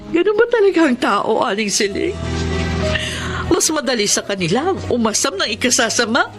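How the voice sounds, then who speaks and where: A woman's voice: fairly high pitch, big pitch swings, moderate pace, over music. An actress playing an earnest, hardworking single mother in a radio drama. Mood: bitter. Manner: earnest, emotional.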